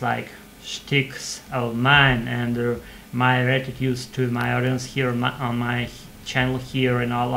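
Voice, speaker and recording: A man in his thirties whose first language is not English rambles to a webcam mic in a small room at home. His delivery is halting and flat in tone, with many fillers, restarts and faint hiss behind him. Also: mid-range voice, gravelly, poor audio. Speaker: channel host practicing English. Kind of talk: casual rambling monologue to webcam. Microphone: webcam microphone at desk.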